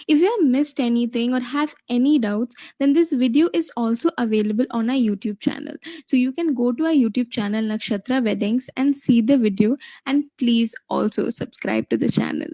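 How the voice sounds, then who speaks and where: Woman reading out contest rules quick and list-like, mellow, dry sound. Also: on the high side, no echo. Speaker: contest organizer.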